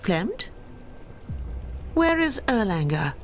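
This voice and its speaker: An actress playing a calm, resolute female hero in a fantasy video game, solemn and measured. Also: medium pitch, faint music behind. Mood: businesslike. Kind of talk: video game character acting.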